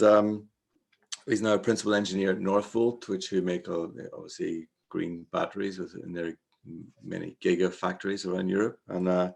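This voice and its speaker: A man hosts an online tech meetup and casually introduces the next speaker. His voice sits on the low side, with a gravelly fry. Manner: casual, with fillers. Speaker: meetup host.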